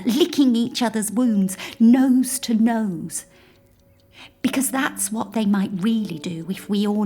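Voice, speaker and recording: A woman playing a grieving, imaginative young girl who confides in her toy polar bear in an audio drama, hushed and childlike. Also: on the high side, husky. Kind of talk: intimate audio drama monologue.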